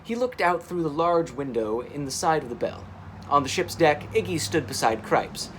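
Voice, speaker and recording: A man reading a children's story aloud in an expressive, dramatic storytelling manner. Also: a little high, moderate pace, a little hiss or hum, poor audio. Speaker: storytime reader. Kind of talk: animated children's audiobook-style storytelling.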